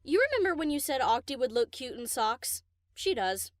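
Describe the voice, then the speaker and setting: A woman's voice: sits high, sing-song, normal speed, no room sound, clean studio sound. A woman voicing a brash, easygoing young DJ pony with casual, slangy speech in a story reading. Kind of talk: audiobook character acting.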